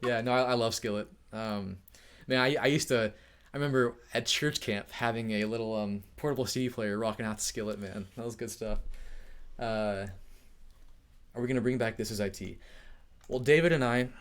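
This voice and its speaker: Casual male streamer answering chat questions, energetic and friendly, often laughing. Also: lowish voice, a little fast. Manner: upbeat, chatty, laughs often. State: overheated. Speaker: tech YouTuber hosting a live Q&A.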